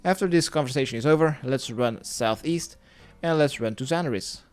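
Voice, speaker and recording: A man's voice: mid-range voice, fairly quick, smooth. A man narrating a step-by-step video game guide, giving plainly worded instructions as a tutorial voice-over. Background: music.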